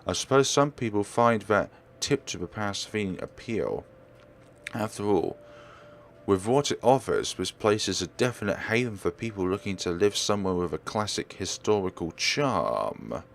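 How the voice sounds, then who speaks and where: A man reading visual novel text aloud as a let's player, expressive and occasionally stumbling over his words. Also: on the low side, normal speed, gravelly, a little hiss or hum. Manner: expressive, stumbles and corrects himself while reading.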